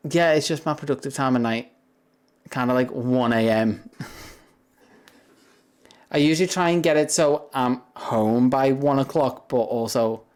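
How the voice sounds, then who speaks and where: A young man with a deep voice talks loosely to his viewers on a livestream. He rambles from one thought to the next and breaks into laughter often. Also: a little mellow. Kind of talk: casual chat with viewers.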